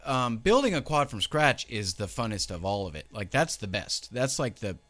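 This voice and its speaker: A man's voice: medium pitch, very animated, moderate pace, fairly bright tone. Laid-back man in his thirties. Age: 30s. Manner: laid-back, many ums, swears casually. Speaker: host. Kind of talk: casual interview chat.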